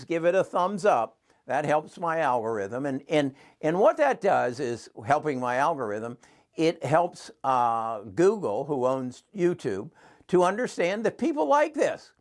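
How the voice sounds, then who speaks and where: A man in his seventies hosting his own channel, talking earnestly and rambling with frequent restarts. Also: mid-range voice. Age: 70s. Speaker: channel host. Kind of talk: casual talk to camera.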